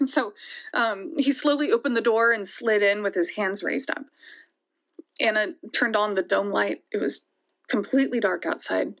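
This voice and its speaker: A woman playing a shaken young woman who recounts a frightening night in an audio drama, halting and conversational, with hesitations and restarts. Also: mid-range voice, a bit bright. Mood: tense, hesitant. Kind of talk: first-person dramatic storytelling in an audio drama. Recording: dull, lo-fi sound.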